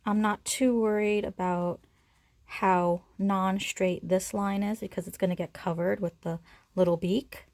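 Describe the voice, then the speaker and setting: A woman's voice: mid-range voice, steady tone, a little slow, darkish tone, dry recording. A woman giving a casual step-by-step craft demonstration, informally talking through each action as she works. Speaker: craft tutorial instructor.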